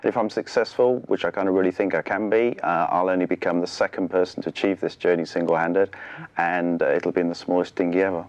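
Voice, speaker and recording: A man's voice: sits low, steady tone, fast talker, no echo. A middle-aged man, speaking conversationally and earnestly as a studio interview guest. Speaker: interview guest, charity sailor. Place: television studio.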